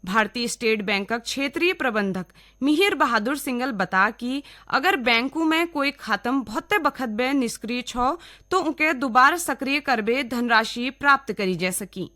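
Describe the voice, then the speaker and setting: Woman newsreader, Kumaoni accent, bright crisp-edged tone, raised pitch, scripted radio bulletin. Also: lively intonation, normal speed. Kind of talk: scripted regional radio news reading.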